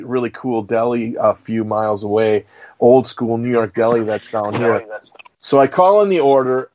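Man telling a story on lo-fi audio. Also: medium pitch. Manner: storytelling, animated retelling. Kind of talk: casual chat. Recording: muffled lo-fi audio.

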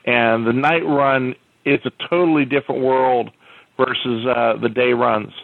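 A man's voice: mid-range voice, fairly even intonation, gravelly, no room sound. Easygoing man over a tinny phone line. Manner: easygoing, many "uh" pauses. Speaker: podcast co-host. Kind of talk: casual podcast chat.

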